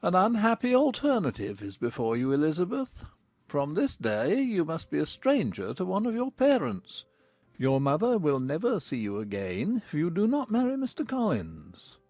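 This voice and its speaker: A man acts out a middle-aged gentleman father in an audiobook drama. He is formal, measured and dryly ironic, with hugely swinging intonation in a fairly high male voice, recorded very dry. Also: huge pitch swings, no echo, heavily acted. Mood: mock-solemn.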